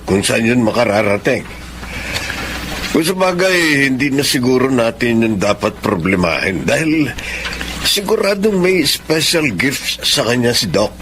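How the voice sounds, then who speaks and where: An actor with a Filipino accent playing a calm, accepting middle-aged father in a radio drama, measured and reassuring. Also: medium pitch, noisy background, lightly acted. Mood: calm, resigned.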